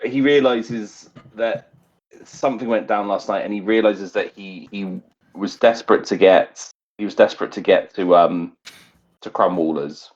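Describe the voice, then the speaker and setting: A man playing a tabletop roleplaying game, narrating his character in a halting way and often reworking his phrases. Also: fairly low pitch. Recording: dull, lo-fi recording.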